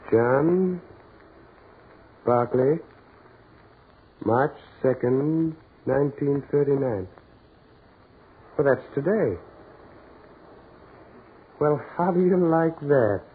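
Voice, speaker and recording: An American actor playing a curious, insistent man on a dark beach in a 1940s radio horror play. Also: on the high side, husky, narrow, tinny audio, exaggerated voice. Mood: puzzled. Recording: scratchy band-limited 1940s radio recording.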